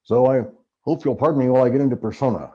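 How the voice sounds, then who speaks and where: A man reading a book aloud to camera in a theatrical, expressive way, like an amateur voice actor. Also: mid-range voice, rapid-fire, husky, muffled recording.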